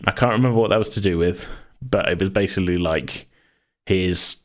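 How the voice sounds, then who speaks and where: A man with a distinctly deep-pitched voice talks casually as a podcast host. He rambles and thinks aloud, in the loose style of a chat about a game he runs. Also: a little fast.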